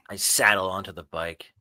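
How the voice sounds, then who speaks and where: A man's voice: sits low, steady tone, unhurried, pushed and bright, no room sound. A man improvising first-person narration as a player in a tabletop roleplaying game.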